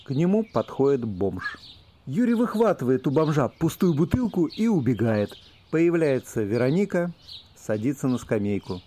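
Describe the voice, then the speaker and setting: A man's voice: medium pitch, big pitch swings, moderate pace, dark tone. A man reading scripted stage directions as the narrator of an audio play.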